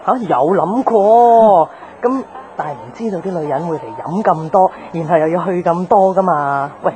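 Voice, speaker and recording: An actress playing a guarded, jaded young tomboyish bar owner in a radio drama, offhand and slangy with a Hong Kong Cantonese accent. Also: female voice, on the low side, normal speed, warm tone, lightly acted. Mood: teasing, brisk. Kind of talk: naturalistic radio drama acting. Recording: music behind the voice.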